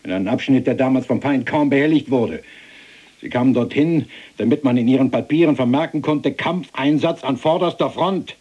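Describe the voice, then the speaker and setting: An actor playing a sardonic, plain-spoken middle-aged German prisoner in a radio play, with a husky voice and mocking, colloquial emphasis. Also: male voice, medium pitch, moderate pace, darkish tone, muffled recording, lightly acted.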